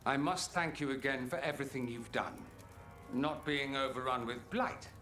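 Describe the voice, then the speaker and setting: An actor playing a suave, witty mage rebel in a video game, speaking with wry, theatrical eloquence. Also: male voice, medium pitch, moderate pace, bright, forceful tone, soft background music, close to an everyday voice. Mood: warm, pleased. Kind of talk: video game cutscene acting.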